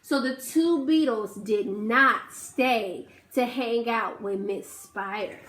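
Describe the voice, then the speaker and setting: Lady teacher reading a counting story to little kids, high voice, slow and animated, echoey classroom. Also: heavy background noise. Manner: animated, coaxing, asks children questions. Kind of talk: reading a picture book aloud with counting. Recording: roomy echo.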